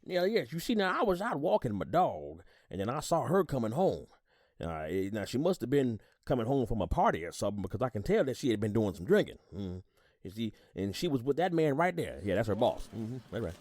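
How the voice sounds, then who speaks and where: A man's voice: mid-range voice, big pitch swings, brisk, a little mellow, no room sound, clean studio sound. A man playing an older retired army sergeant on the witness stand in an audio drama, folksy and gossipy, rambling and repeating himself. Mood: obliging, chatty. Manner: folksy, rambling, repeats words, hums agreement. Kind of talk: audio drama acting, courtroom testimony.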